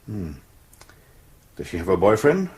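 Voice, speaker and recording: An actor playing a hesitant, placating English police sergeant who stammers a little in a radio drama. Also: male voice, lowish voice, very animated, measured pace, a little mellow. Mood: hesitant, polite. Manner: stammering, hesitant, patiently reassuring.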